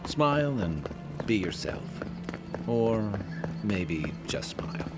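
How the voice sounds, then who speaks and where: An actor playing a calm, wry peacemaking male companion in a video game. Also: on the low side, slow pace, loud music behind the voice. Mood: wry, placating.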